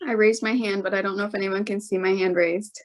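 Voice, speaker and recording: A woman with a medium-pitched voice gives a candidate's answer at a forum. She speaks unscripted and carefully, hedging and pausing to reword her points. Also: level tone. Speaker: political candidate.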